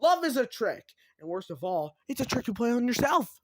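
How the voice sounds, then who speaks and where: A man's voice: very high voice, big pitch swings, normal speed, no echo, pitched above the performer's own voice. A man voicing a brash chaos demon from a book, theatrical and taunting, like a mocking salesman. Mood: mocking, ranting. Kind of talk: animated audiobook character reading.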